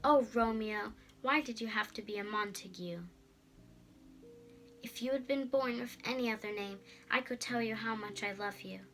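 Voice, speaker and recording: A woman's voice: on the high side, moderate pace, fairly bright tone, soft background music. A young female reader voicing an earnest, lovestruck young noble girl in a storybook, expressively. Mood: yearning.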